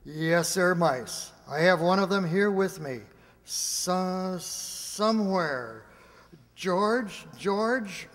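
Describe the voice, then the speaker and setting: An actor playing a persuasive laboratory security chief, deliberate and emphatic, in a radio play. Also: male voice, sits high, lively intonation, fairly bright tone, exaggerated voice. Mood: formal, earnest. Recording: echoey hall with long reverb.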